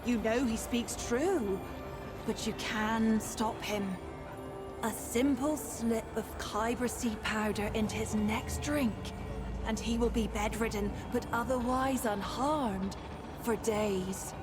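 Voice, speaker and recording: A woman playing an ethereal, playful and probing seer spirit in a fantasy game, speaking in a deliberate, mysterious, riddling way. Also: a little high, steady tone. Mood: measured, probing. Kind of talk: theatrical fantasy game dialogue. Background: music.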